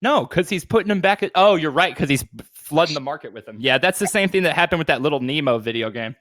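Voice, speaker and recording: A man's voice: fairly high pitch, expressive, fast, fairly bright tone, no echo, clean studio sound. A man co-hosting a casual podcast, chatting off the cuff in loose, slangy language. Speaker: podcast co-host.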